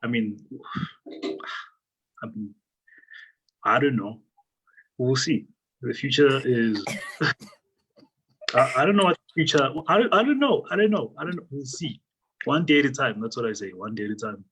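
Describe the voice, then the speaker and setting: Man, husky voice, hesitant, lively pitch, video call. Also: moderate pace, pauses often, poor audio. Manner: hesitant, noncommittal. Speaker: guest.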